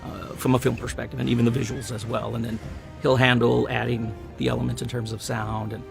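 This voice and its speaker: A man with a mid-pitched, creaky voice talks informally as a writer being interviewed. Music plays clearly behind him as he explains his part in the work. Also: flat delivery, brisk. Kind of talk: casual chat in a behind-the-scenes interview. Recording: clear background music under the voice.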